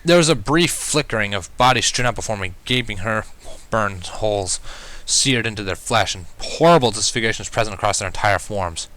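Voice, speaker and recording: A man's voice: on the low side, expressive, a little fast, sharp tone, poor audio. A man reading fan fiction aloud as first-person story narration.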